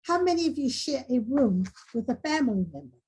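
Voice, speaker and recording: Woman playing an earnest, high-voiced, animated school principal. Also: a little fast, breathy edge, heavily acted, low-quality recording. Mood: probing, earnest. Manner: declarative, rousing speech-making. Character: earnest, determined female school principal rallying staff and students. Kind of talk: virtual table read of a screenplay.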